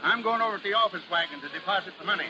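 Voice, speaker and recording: A chatty American carnival sidekick, acted by a man, high-voiced and quick with colloquial slang. The old 1930s radio drama recording is clearly noisy. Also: lo-fi sound, close to an everyday voice. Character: folksy, chatty carnival ticket-seller sidekick, adult male.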